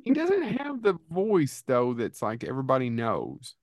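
A man's voice: mid-range voice, wildly swooping pitch, normal speed, dry recording, clean studio sound. A man co-hosting a casual chat with brief, somewhat hesitant interjections. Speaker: podcast co-host.

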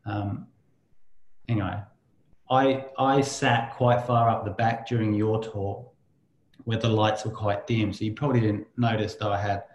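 A man's voice: fairly low pitch, steady tone, a little slow, reverberant. A man hosting a podcast interview, chatting loosely, telling stories with a laugh and some swearing. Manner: storytelling, swears freely, laughs while talking. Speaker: podcast host. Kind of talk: casual interview chat.

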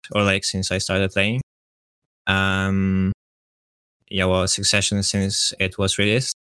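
A man's voice: lowish voice, clean tone, no room sound. A young man with a Polish accent, a podcast guest chatting casually and hesitantly, with many fillers. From Poland. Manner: relaxed, hesitant, filler-heavy.

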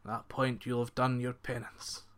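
A man voicing a stern but reasonable, wry minister in a told folk tale, speaking in firm, measured declarations. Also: medium pitch, level tone, clear, resonant tone, natural voice.

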